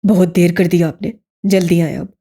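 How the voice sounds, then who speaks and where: A woman voicing a confident, hurried young man with an urging, commanding manner in an audio story. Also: on the low side, steady tone, warm tone, breathy edge, voice pushed down. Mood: brisk, urging.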